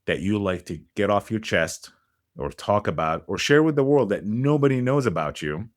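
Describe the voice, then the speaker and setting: A man's voice: lowish voice, animated, normal speed. A man hosting a podcast, casual and blunt, who swears freely while he introduces his guest. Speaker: podcast host. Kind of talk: podcast interview.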